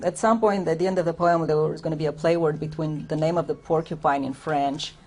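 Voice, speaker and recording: Woman poet, low voice, reflective reading of her own prose poems with halting explanations. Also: steady tone, darkish tone. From Romania. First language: Romanian. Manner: unhurried, reflective, explains between poems with hesitant asides. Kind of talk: poetry reading with spoken commentary.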